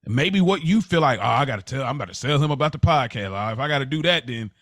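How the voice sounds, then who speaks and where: A man with a mid-pitched voice talks casually as a podcast co-host. He is earnest, starts and restarts his thoughts, and repeats phrases to drive them home. The recording is dry. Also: expressive, fast, a bit bright, no echo. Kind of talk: casual conversational podcast chat.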